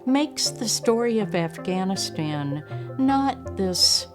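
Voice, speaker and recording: A woman's voice: medium pitch, animated, slow pace. A woman, a school leader giving an unscripted interview. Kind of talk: spontaneous interview talk. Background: guitar music.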